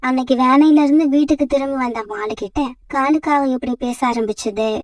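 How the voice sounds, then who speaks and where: A woman tells a children's cartoon story in a plain, even way. Her voice sits high and sounds dry and slightly distant from the mic. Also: fairly quick, no echo. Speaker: narrator. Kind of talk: storybook narration.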